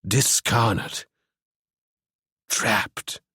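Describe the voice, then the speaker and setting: A man's voice: medium pitch, very animated, slightly rough, dry recording, studio quality, full character voice. A man doing a haunted, mumbling man. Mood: muttering. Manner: slow, hushed, distant, mumbling. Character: haunted, frightened man muttering dark secrets. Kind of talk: audiobook character voice.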